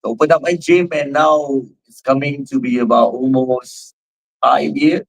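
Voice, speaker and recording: A man's voice: medium pitch, steady tone, normal speed, creaky, rough recording. Man, 40s, Singaporean accent, rambling interview answers, fitness trainer, plain mid voice. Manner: rambling, many fillers and restarts. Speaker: guest, fitness trainer and gym owner.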